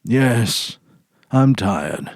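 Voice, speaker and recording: A man's voice: medium pitch, animated, very slow, gravelly, dry recording, studio quality, exaggerated voice. A man voicing a weary, brusque senior policeman husband in a dramatic story reading. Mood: weary, curt. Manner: terse, brusque.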